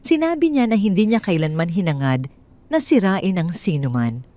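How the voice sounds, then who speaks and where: A woman's voice: lowish voice, sing-song, normal speed, dark tone. A woman with a Filipino accent narrating a scripted dramatic story.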